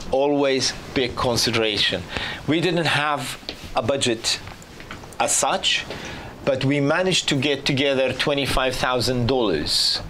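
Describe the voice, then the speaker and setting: A man's voice: mid-range voice, slight background noise. Man in his fifties advising film students. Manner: animated, gesturing, explaining with restarts. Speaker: guest filmmaker. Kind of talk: answering student questions. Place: small studio set with sofa. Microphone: lapel.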